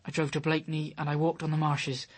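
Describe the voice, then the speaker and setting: A man's voice: a little high, completely flat delivery, fast talker, lightly acted. An actor playing an anxious, lovesick young man, easily led, speaking in a hesitant, earnest, pleading way in a radio drama. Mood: subdued. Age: young adult.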